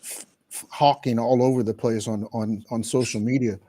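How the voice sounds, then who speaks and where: Man, co-host, casual political chat, halting, dark relaxed voice. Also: mid-range voice. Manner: halting, many fillers.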